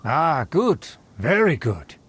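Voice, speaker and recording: An actor playing a pompous, condescending aristocrat in a video game, with a florid, theatrical delivery. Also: male voice, on the high side, very animated, slow pace, fairly bright tone, husky, exaggerated voice. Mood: smug approval. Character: pompous, condescending aristocrat man, self-important snob. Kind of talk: video game character acting. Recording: music behind the voice.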